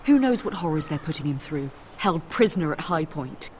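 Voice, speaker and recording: A woman's voice: low-pitched, sing-song, brisk, darkish tone. A protective noblewoman in a fantasy game drama. The speech is anxious, earnest and emphatic, over a faint background noise. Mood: anxious, pleading. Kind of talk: video game drama acting.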